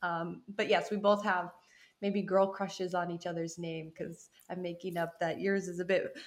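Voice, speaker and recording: A woman's voice: on the low side, level tone, normal speed. A woman hosting a podcast interview, upbeat and effusive, laughing easily. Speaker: podcast host.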